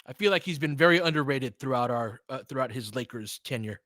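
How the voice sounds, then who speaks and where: A man's voice: medium pitch, animated, fast, a bit bright, dry recording, studio quality. A man giving live basketball play-by-play with informal, slangy fan reactions mixed into casual chat. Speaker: host of a fan play-by-play stream.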